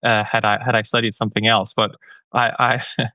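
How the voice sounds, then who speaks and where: Halting man, bright voice. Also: fairly low pitch, little pitch movement, no echo. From Denmark. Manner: hesitant, many restarts and repeated words. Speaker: guest scientist. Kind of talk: conversational interview answers.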